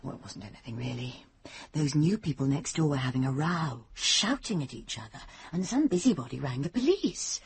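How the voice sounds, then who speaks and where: Husky, chatty British mother. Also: deep voice, lively intonation, normal speed, heavily acted. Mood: gossipy, casual. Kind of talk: radio drama acting.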